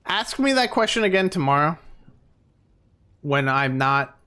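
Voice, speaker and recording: Tired streamer dude rambling into his mic, voice kinda going, pitch bouncing all over. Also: sing-song, measured pace, pushed and bright, smooth. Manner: rambling, chatty, lots of fillers. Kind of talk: casual live-stream chat.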